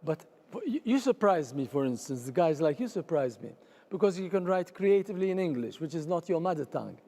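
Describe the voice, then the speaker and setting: A man talks quickly in a high but mellow voice. He is emphatic and keeps cutting in with quick agreements such as "exactly" and "totally". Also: big pitch swings. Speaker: writer on panel. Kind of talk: panel conversation.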